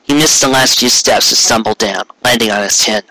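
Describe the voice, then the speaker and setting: A man's voice: mid-range voice, fairly quick, bright, forceful tone, no echo, rough recording. A man narrating a story as a dramatic reading, intense in manner, now and then stumbling over words. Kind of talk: dramatic reading of fan fiction.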